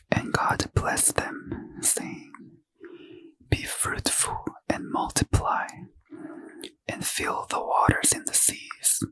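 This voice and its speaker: A man whispers a bedtime scripture reading for sleep, his voice almost entirely breath. The whisper is bright and hissy, and he takes each phrase slowly and gently. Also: low-quality recording. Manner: gentle unvoiced whisper, unhurried. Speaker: ASMR reader.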